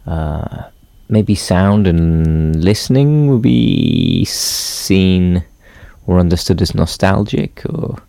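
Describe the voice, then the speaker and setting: A man musing reflectively and hesitantly, often pausing to search for words. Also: sits low, expressive, creaky, mellow, no echo, studio quality. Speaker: interviewee.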